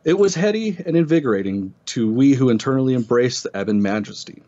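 A man's voice: medium pitch, expressive, fast talker, dark tone. A man reading a literary essay aloud with casual asides, stumbling over words now and then. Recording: slightly dull, band-limited audio.

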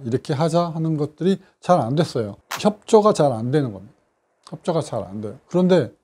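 A middle-aged man, a clinic doctor, calmly explaining a patient's treatment case in his office. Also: fairly high pitch. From South Korea. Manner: measured, explanatory, with frequent fillers.

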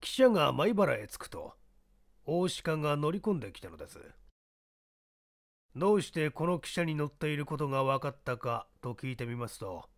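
Man as a shrewd, lively-voiced baseball scout. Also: fairly high pitch. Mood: self-assured. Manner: measured, explanatory, worldly. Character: shrewd, self-assured baseball scout under police questioning. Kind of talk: radio-drama style mystery reading.

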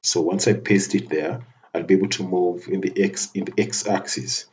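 A man giving a methodical, step-by-step software tutorial over a screen recording, often restarting his phrases. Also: low voice, fairly even intonation, normal speed. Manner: methodical step-by-step narration with frequent restarts and fillers.

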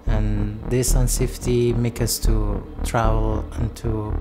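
A man in his twenties from Afghanistan telling his story in halting, non-native English with many pauses. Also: fairly low pitch, flat delivery, background noise. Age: 20s. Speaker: refugee interviewee. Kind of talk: documentary testimony. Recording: voice under loud music.

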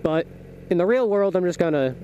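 A man's voice: high-pitched, expressive, brisk, dull audio. A young man rambling casually to viewers, thinking aloud and correcting himself, on a helmet microphone as he rides a motorcycle. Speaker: motovlogger. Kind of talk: casual vlog chat while riding. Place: inside a helmet, riding a motorcycle outdoors. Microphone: small microphone inside the helmet. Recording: loud engine, wind and road noise.

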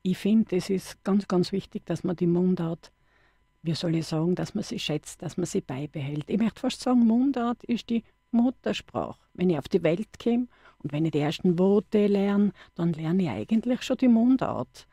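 A woman's voice: lowish voice, animated, moderate pace, dark tone, breathy edge, no echo. A middle-aged woman with an Austrian accent, a dialect poet chatting as a studio guest on a radio show, with frequent restarts. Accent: Austrian German. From Upper Austria. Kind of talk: radio interview.

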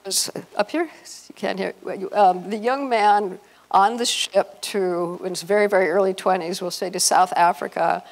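An older woman giving an informal, sentimental talk of reminiscence into a handheld microphone. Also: medium pitch. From southern California. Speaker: panel speaker at a fan convention. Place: hotel conference room with small audience.

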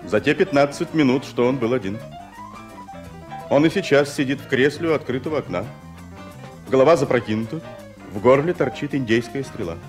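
An actor playing a reserved, embittered private secretary in a radio play, with clipped, restrained delivery. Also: male voice, mid-range voice, expressive, a little fast, long pauses, faint music behind, natural voice. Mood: tense, reporting. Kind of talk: Soviet radio play acting.

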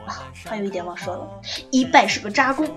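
A woman's voice: medium pitch, lively intonation, quick pace, fairly bright tone, soft background music. A woman giving a casual, playful, teasing afterword as the writer of an audio drama. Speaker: scriptwriter and planner of an audio drama. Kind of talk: casual cast-and-crew afterword.

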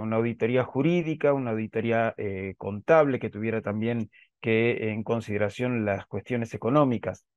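A man's voice: medium pitch, smooth, dry recording. Argentine man lecturing over a headset. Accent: Argentine Spanish. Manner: measured, with frequent filler "este". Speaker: invited speaker, lawyer. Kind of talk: presentation at an online panel. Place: home office with bookshelves. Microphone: headset on a video call. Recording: slightly dull, dry video call audio.

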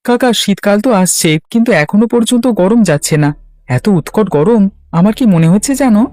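A man's voice: sits high, lively intonation, moderate pace, a little mellow. A man voicing an earnest, polite young village lad in a cartoon, helpful and concerned. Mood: earnest, planning. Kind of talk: Bangla cartoon voice acting.

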